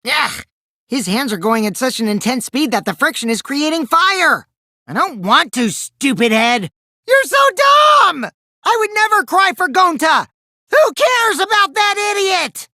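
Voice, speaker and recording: A childish teenage schemer from a video game, a self-styled supreme leader of evil. The voice swoops dramatically between bratty teasing and sinister taunts, unhurried and recorded close and dry. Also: male voice, extremely high pitch, sharp tone, dry recording, heavily acted. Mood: tantrum, shouting. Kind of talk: English video game voice acting.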